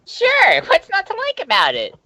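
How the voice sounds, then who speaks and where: A carefree young cartoon child, voiced by a woman, very high and lively in pitch. The delivery is easygoing, part of a comic fandub, and recorded close and dry. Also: moderate pace, dry recording, full character voice. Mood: carefree, matter-of-fact. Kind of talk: comic fandub character reading.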